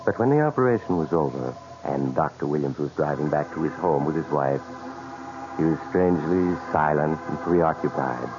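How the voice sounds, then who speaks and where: An actor playing an ominous storyteller, narrating a 1940s radio mystery. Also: male voice, rumbling low, expressive, normal speed. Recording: muffled old radio recording, music behind.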